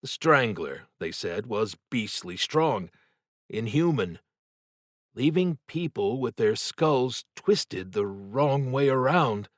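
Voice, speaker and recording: A man narrating a horror novel as an audiobook, telling the story in a measured, dramatic, suspenseful way. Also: medium pitch, animated, no room sound, clean studio sound.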